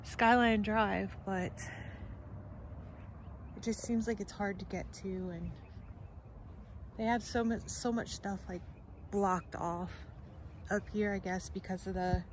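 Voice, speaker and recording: A woman's voice: medium pitch, fairly even intonation, slow, long pauses. Hesitant woman vlogging outdoors, noisy. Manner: chatty, hesitant, often trails off. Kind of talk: casual walking vlog. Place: outdoor cemetery on a sunny day.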